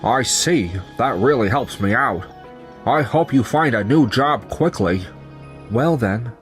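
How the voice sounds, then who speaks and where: Here is a man performing a young company man in a dramatized manga story. He explains things in scripted, conversational lines at a middle pitch. Music plays behind the voice. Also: lively intonation, slow. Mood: smug, businesslike. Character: betrayed young company founder, calm and resigned, and his coworkers. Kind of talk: manga dub acting and narration. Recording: music under the voice.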